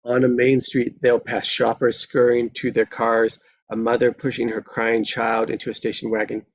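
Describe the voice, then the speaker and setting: Gravelly, husky male narrator. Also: mid-range voice, completely flat delivery, moderate pace, dry recording, lo-fi sound. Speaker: stage directions narrator. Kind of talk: dramatic scripted narration.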